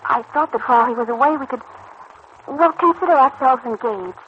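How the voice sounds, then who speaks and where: A woman's voice: sits high, brisk, close to an everyday voice. An actress playing a troubled, lovesick young girl in a vintage radio serial, speaking in an earnest, hesitant, pleading way. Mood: earnest, insistent. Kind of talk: 1940s-50s radio serial acting. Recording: dull, noisy old radio recording.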